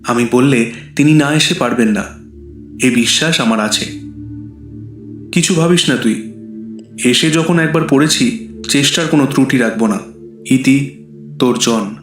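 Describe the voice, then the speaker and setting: A man's voice: mid-range voice, measured pace, long pauses, fairly bright tone, natural voice. A man playing a sober, resolute doctor, confiding in a friend through a letter in an audio horror drama. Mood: serious, reassuring. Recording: roomy reverb, faint music behind.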